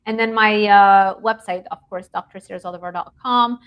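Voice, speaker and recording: A woman from Lebanon in her thirties, guest in a casual video-call interview, talking in an animated way with frequent laughs and restarts. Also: medium pitch, moderate pace, smooth. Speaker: guest nutrition researcher.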